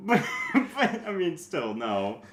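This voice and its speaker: A man in a casual, comedic podcast book discussion, talking in an animated, profane way. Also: a little high, slow pace, a crowd in the background. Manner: animated, acts out joke voices, profane. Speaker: podcast panelist. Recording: echoey room with faint noise.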